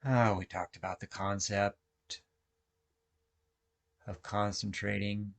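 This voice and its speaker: A man with a fairly low voice guides a relaxation session. He gives soft-mannered, measured instructions in short phrases. Long pauses separate each cue, as a meditation instructor would leave for listeners to follow. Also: flat delivery, vocal fry. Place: online video call session.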